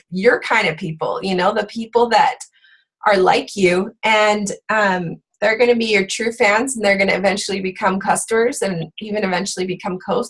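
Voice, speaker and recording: A woman hosting a book club, a bit hoarse from being sick, chatting casually with lots of fillers. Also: mid-range voice, low-quality recording. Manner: chatty, with frequent fillers and laughs. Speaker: fitness coach and book club host.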